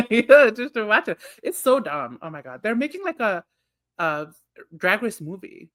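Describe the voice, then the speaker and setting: Bright, lively-voiced giggly man. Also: sits high, measured pace. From Nepal. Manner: giggly, teasing, bursts of laughter. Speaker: guest. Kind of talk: casual podcast chat.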